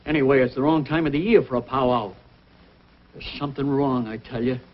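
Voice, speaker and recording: A man's voice: medium pitch, a little fast, close to an everyday voice. An actor playing a worried, stubborn invalid father with an insistent manner in an old-time radio adventure. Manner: anxious, insistent. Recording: dull old radio, faint noise.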